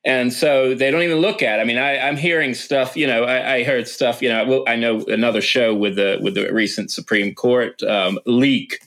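Male host, mid-pitched, rambly, filler-heavy, restarts often. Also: fairly even intonation, moderate pace, few pauses, a bit bright. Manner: rambling, many fillers, frequent "yeah" backchannels. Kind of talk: casual discussion.

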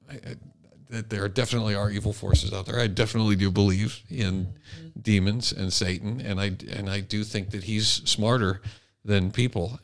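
A middle-aged or older man chatting casually as a podcast co-host, halting and restarting his phrases as he goes. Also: on the low side, vocal fry. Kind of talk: casual conversational podcast talk.

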